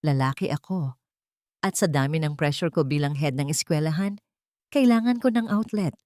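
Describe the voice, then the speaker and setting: A woman's voice: deep voice, moderate pace, mellow, no room sound, lightly acted. A cold, condescending husband from an audiobook drama, voiced by a woman. He sounds irritated and dismissive, explaining himself as if to a child. The sound is close and dry. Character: cold, irritated, self-excusing husband, a school head.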